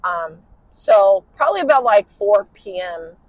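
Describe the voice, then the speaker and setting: A tired woman with a husky, smoky voice tells a personal story in casual chat while driving in a car at night. She rambles and uses lots of "you know" fillers. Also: mid-range voice, lively intonation, a little slow, dry recording, highs cut off. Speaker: podcast host.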